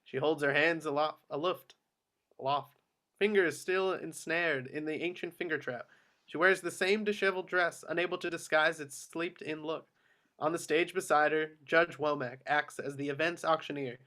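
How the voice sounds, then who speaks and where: A man's voice: on the high side, steady tone, normal speed, pushed and bright. A man reading out screenplay action directions as scripted narration at a table read. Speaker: action-line reader.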